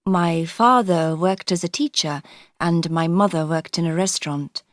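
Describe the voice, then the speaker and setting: Low-voiced woman from India. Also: a little slow, no room sound, clean studio sound. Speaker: interviewee. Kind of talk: scripted textbook dialogue.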